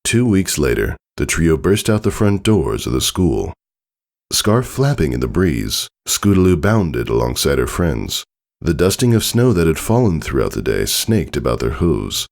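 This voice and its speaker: A man narrates fiction in a very low register. Fry runs through his voice, and the pitch moves widely. The delivery is dramatic, scripted storytelling. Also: very animated, unhurried, darkish tone. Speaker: story reader.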